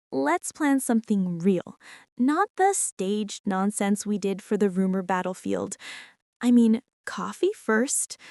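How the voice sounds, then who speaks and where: A woman's voice: medium pitch, a little mellow, no room sound. Woman acting a bossy, smitten college girl, close and dry. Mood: playful, proud. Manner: bossy, teasing, intimate, breathy asides. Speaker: ASMR roleplay performer. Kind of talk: girlfriend ASMR roleplay, intimate scripted monologue.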